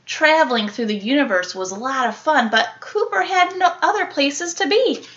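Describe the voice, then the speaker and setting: Bright-voiced woman reading a children's picture book. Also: high-pitched, moderate pace, lo-fi sound. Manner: animated, dramatic emphasis with sound-effect words. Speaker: elementary school teacher reading aloud. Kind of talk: expressive children's picture book read-aloud.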